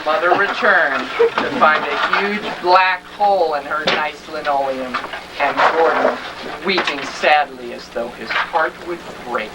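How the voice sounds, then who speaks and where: A man's voice: a little high, a little slow. A man narrating a children's story in a mock-serious, melodramatic theatrical style. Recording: distant, very noisy live stage recording.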